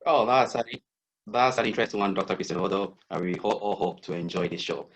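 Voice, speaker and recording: A man's voice: low-pitched, fast. A man hosting an online panel, introducing each guest in a hesitant way with restarts. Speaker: host, moderator.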